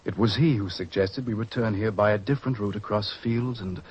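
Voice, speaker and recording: A man's voice: on the low side, a little fast, darkish tone, natural voice. An actor playing a humble, grateful father in an old-fashioned radio drama, speaking earnestly. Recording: dull, hissy old radio recording.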